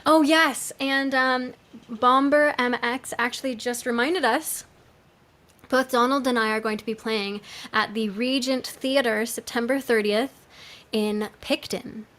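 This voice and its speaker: A woman's voice: fairly high pitch, steady tone, measured pace, fairly bright tone. A woman musician chatting casually on a livestream, answering in an informal, conversational way.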